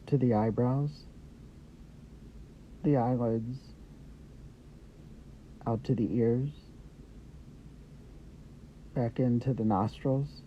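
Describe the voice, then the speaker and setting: A man leads a guided meditation at a very measured, slow pace, leaving space between short phrases. His instructions are gentle and unhurried, in a mid-pitched voice. The recording is somewhat dull and lo-fi, with light noise behind him. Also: steady tone. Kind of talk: guided meditation, Yoga Nidra. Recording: dull lo-fi audio with background noise.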